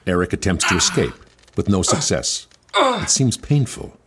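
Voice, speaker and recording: A man's voice: lowish voice, wildly swooping pitch, normal speed, fairly bright tone, slightly rough, heavily acted. A man reading stage directions for an audio musical in scripted narration.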